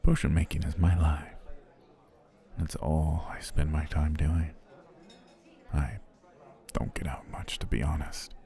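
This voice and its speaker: An actor playing an obsessive, smooth-talking male potion seller in an ASMR roleplay, speaking in soft, flowery, courtly phrases that often drop to a whisper. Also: extremely low pitch, flat delivery, takes it slowly, long pauses, exaggerated voice. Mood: adoring.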